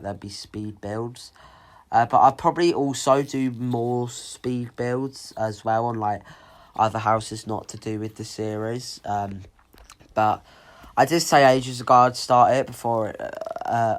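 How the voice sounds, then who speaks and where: A teenage boy with a cold, sounding croaky, rambling casually with lots of ums as he talks over his own building gameplay. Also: on the low side. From United Kingdom. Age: teens. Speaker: gaming YouTuber.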